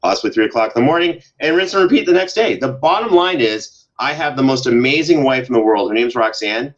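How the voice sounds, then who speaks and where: A man's voice: medium pitch, expressive, a little fast, muffled recording. A middle-aged American man chatting casually as a podcast guest, with a joking, dryly sarcastic manner. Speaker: podcast guest, audio drama producer. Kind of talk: casual conversational interview.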